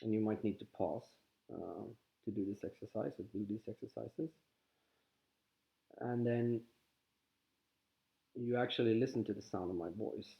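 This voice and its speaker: Swedish-accented guy teaching slow and calm, lots of restarts, kinda dull lo-fi audio. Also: on the low side, vocal fry. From Sweden. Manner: calm, halting, with frequent restarts. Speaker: spiritual teacher. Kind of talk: guided contemplative teaching.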